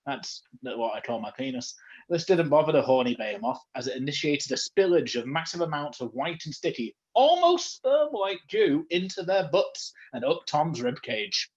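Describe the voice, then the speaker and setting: A man's voice: fairly high pitch, sing-song, normal speed, muffled recording. A man reading fan fiction aloud as comedy, breaking off for joking asides. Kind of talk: comedic read-aloud of a story.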